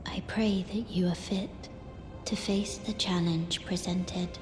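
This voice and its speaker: A reserved young maiden guide from a fantasy game. The voice is low and hushed, with soft breath in it. The delivery is slow, solemn and formal, with music clearly behind. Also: female voice, steady tone. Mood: earnest, grave. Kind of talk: dramatic fantasy game acting.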